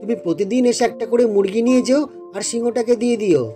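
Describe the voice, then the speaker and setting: A man playing a kindly, grateful villager who speaks in an earnest, friendly way in a children's animated folk tale. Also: very high-pitched, fast talker, soft background music. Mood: welcoming, helpful.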